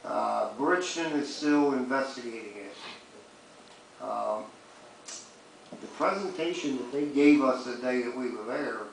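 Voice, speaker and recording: A man's voice: mid-range voice, a little slow, vocal fry, pauses often. Plain-spoken man in a very echoey room. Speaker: city commissioner. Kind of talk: public meeting remarks, clarifying. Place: public meeting room. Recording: very echoey room, distant mic, faint noise.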